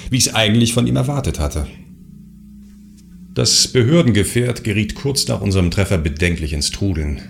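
A man playing a gravelly, low-voiced sect leader. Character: scheming, self-assured male sect leader, a rival. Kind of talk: radio play inner monologue narration.

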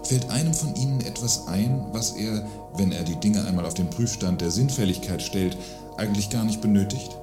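A man's voice: fairly low pitch, normal speed, music in the background, close to an everyday voice. A man acting an earnest, preachy adviser in a satirical radio play, with a formal, lecturing manner. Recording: roomy echo.

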